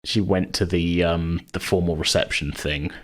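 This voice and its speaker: A man's voice: sits low, fairly even intonation, normal speed. A man who hosts a podcast and runs games, chatting casually and rambling as he thinks aloud. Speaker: podcast host and game master. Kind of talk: casual chat.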